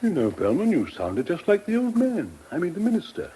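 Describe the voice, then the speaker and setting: Higher-voiced officious British bureaucrat. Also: male voice, huge pitch swings, fairly quick, warm tone, slight background noise, low-quality recording. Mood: smug. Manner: officious, self-satisfied. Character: smug, officious male planning bureaucrat eager for development. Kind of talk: British radio drama acting.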